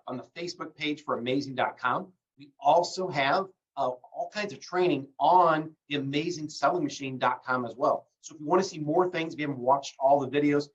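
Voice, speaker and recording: Distant, muffled man hosting a call. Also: mid-range voice. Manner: relaxed, many fillers, promotional. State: recovering from bronchitis. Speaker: host.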